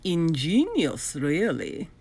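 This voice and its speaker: A sly villainous doctor from a children's storybook, acted out by a woman. He is smug and oily, stretching his words with an animated, swooping delivery. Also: low voice, husky, full character voice. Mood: wary. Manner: oily, drawn-out delivery, sputtering when flustered.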